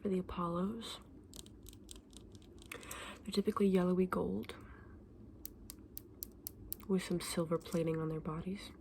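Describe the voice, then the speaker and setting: A woman playing a friendly, knowledgeable fantasy egg saleswoman in an ASMR roleplay, speaking softly and often in a breathy whisper, gently explaining things to a customer. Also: lowish voice, steady tone, a little slow, pauses often, slight background noise. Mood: informative, gentle.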